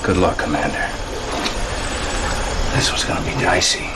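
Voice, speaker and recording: An actor playing a brash, gung-ho field officer in a game cutscene, talking in informal slang. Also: male voice, rumbling low, flat delivery, normal speed, heavy background noise. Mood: urgent, gruff. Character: cocky, gung-ho male military field officer, brash and eager. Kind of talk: live-action game cutscene acting.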